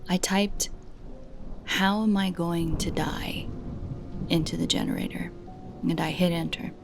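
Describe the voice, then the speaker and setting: Woman, horror story narrator, very slow pacing, gravelly fry, measured scripted delivery, dramatic pauses, faint music behind. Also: lowish voice, fairly even intonation. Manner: measured, dramatic pauses between short phrases. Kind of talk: scripted narration, first-person creepy story.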